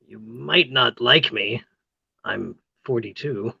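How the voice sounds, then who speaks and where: A woman's voice: a bass voice, takes it slowly, gravelly, pushed and bright, husky, dry recording, lo-fi sound, exaggerated voice. A woman playing a flustered, love-hungry neighbour of forty-two in a play, hesitant and theatrically torn. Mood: tempted, torn. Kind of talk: play table read.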